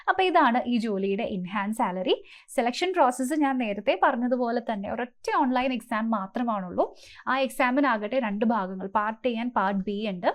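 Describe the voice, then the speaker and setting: A woman giving an instructive coaching presentation to exam aspirants, mixing in many English terms. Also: a little high.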